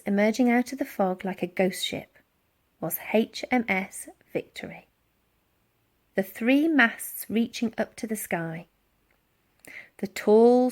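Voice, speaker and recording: A woman narrates a children's storybook with dramatic expression. Her voice sits a little high and moves in very animated swings of pitch. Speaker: audiobook reader.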